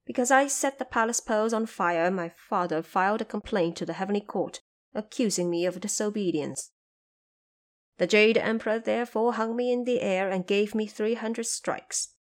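A woman's voice: medium pitch, moderate pace, lightly acted. A woman voicing a wretched young male dragon prince who speaks in a formal, pleading way for an audio drama.

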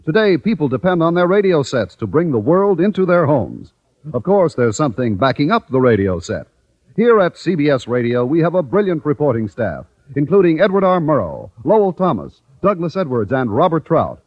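A male announcer reads a scripted promo in formal mid-century radio style. His voice is slightly high and animated. The sound is dry, on a somewhat muffled old recording. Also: normal speed, no echo.

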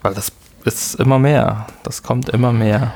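A man co-hosting a podcast, relaxed and explaining things with light laughter. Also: medium pitch, a little slow. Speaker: podcast co-host. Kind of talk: casual chat.

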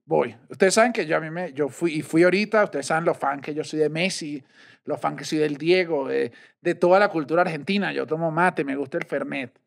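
A man from Venezuela co-hosting a casual podcast chat, an animated storyteller. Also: a little high, fairly quick, gravelly. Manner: animated storyteller, acts out quotes. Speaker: podcast co-host.

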